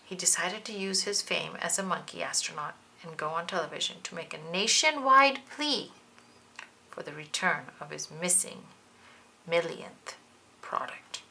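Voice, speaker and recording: A woman's voice: fairly low pitch, animated, unhurried, creaky, bright, forceful tone, a little hiss or hum. A woman reading a children's storybook aloud, narrating expressively and dramatically. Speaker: children's book reader.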